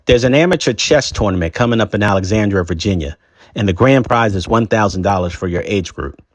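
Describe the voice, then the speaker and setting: A man's voice: fairly low pitch, lively intonation, fairly quick, no echo. A man voicing a middle-aged father in a storybook reading, explaining plans plainly and encouragingly.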